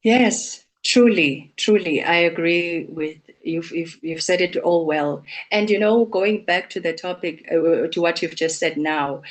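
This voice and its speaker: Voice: medium pitch, unhurried, few pauses. An English teacher leading an online speaking class, encouraging the learners and affirming their points. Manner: encouraging, affirming with "truly" and "mm-hmm". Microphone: video call.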